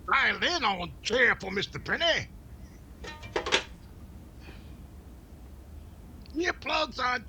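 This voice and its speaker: Man doing wry teasing valet, extremely high pitch, animated, deadpan wisecracks, 1940s radio comedy, noisy clicky recording. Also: exaggerated voice. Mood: busy, wry. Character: wry, unflappable male valet, dutiful but teasing.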